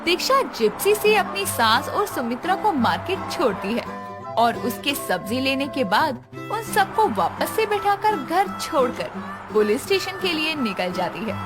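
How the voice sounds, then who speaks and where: A woman narrates a cartoon story from a script. Her fairly high voice swings up and down in a lively way, with music clearly behind it. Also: unhurried.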